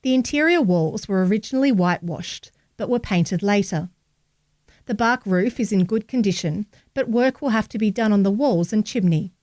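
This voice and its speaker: Female narrator, medium pitch, reading local print stories in a very dry acoustic. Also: lively intonation, moderate pace, a little mellow, no echo. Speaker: reader of a local newspaper article. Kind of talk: scripted narration, read aloud.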